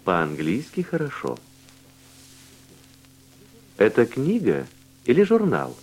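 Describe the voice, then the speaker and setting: A man reading language-course dialogues and word drills with slow, deliberate, carefully enunciated speech. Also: mid-range voice, wildly swooping pitch, a little mellow, soft background music, rough recording. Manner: clear, deliberate articulation in short answers.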